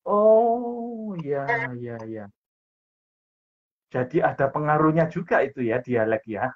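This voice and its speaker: A man's voice: a little high, animated, slow pace. A male interviewer asking questions and nodding along with frequent short affirmations. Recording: slightly dull lo-fi sound.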